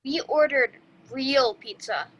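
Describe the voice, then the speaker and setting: A woman's voice: high-pitched, slow, a bit bright, dull audio, a little hiss or hum. A woman reading the part of an earnest, geeky young male party host at an amateur table read. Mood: uneasy.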